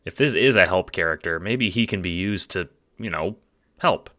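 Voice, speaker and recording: A man's voice: low voice, moderate pace, bright, forceful tone. A man reading scripted second-person audio drama narration in a dramatic, wry storytelling manner. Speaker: narrator and producer.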